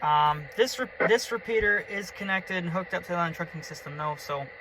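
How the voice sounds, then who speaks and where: A man's voice: high voice, fairly quick, sharp tone, a little hiss or hum, low-quality recording. A man giving a casual, chatty, enthusiastic show-and-tell as a hobbyist vlogger. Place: in and around a pickup truck.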